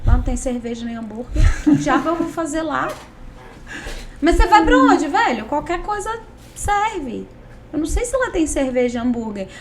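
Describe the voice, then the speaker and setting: A woman with a high voice chats casually as the host of a podcast. She speaks bluntly and uses slang, and she jokes darkly at her own expense. A faint hiss sits under the recording. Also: very animated, normal speed. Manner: blunt, joking, self-mocking, slangy. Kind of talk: casual interview chat.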